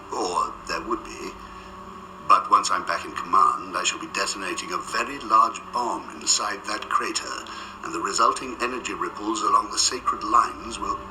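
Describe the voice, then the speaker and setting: An actor playing a polite, genteel senior official who is secretly a calculating villain, in a radio drama. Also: male voice, lowish voice, distant mic. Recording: echoey, clearly noisy radio drama scene.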